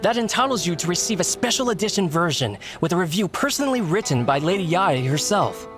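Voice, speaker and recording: An actress playing a friendly, courteous and attentive bookseller in a video game. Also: female voice, on the low side, very animated, a little fast, over music. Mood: delighted, helpful. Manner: courteous, chatty shopkeeper.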